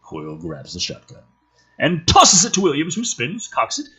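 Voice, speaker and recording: A man's voice: a little high, wildly swooping pitch, normal speed, sharp tone, husky. A man reading a screenplay aloud in a theatrical, emphatic way, dropping into casual, sweary, sardonic commentary. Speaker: host reading and commenting on a film script.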